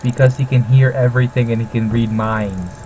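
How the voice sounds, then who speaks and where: A man's voice: mid-range voice, fairly even intonation, fairly quick, mellow, a little hiss or hum, low-quality recording. A man making dry, sardonic remarks in casual, mocking banter as a co-host. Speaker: co-host commenting on the reading.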